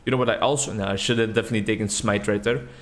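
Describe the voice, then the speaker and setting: A man's voice: on the low side, steady tone, rapid-fire. A man who is a native Dutch speaker hosting a gaming video in English, with casual, rambling, playful commentary and dry humor.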